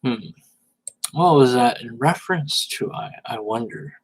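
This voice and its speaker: A man reading social media posts aloud in a dramatic, sarcastic way, with mocking commentary and hesitant pauses. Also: medium pitch, slightly rough, low-quality recording.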